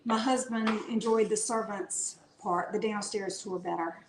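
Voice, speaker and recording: A woman's voice: mid-range voice, measured pace, noisy background. Southern woman, chatty, in an echoey room. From North Carolina. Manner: relaxed, chatty, with fillers and restarts. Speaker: author guest. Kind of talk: casual interview chat.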